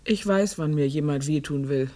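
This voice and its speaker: A woman's voice: low voice, normal speed, mellow, close to an everyday voice. An actress playing a stubborn, distrustful old farm woman who answers curtly and defiantly in a radio drama. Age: older.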